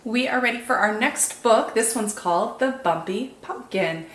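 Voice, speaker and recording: A woman hosting storytime, reading picture books in an expressive, animated way for young children. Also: on the high side, moderate pace, reverberant. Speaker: children's storytime host and reader. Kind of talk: expressive picture-book narration.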